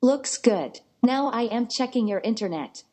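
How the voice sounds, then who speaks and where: A woman's voice reading screening questions as an automated app prompt, in an even, neutral manner. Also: on the high side, a little slow.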